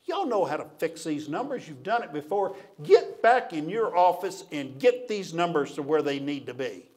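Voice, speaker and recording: A bullying, persuasive company boss, acted out by a man at a lectern. He speaks forcefully and higher-pitched, pressing his point with scolding insistence. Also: very animated, moderate pace.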